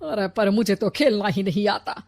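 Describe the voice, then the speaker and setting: A woman's voice: mid-range voice, expressive, normal speed, a little mellow, natural voice. A woman playing a bossy, plotting older mother-in-law in a comic family story, dramatic and complaining. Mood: conspiring.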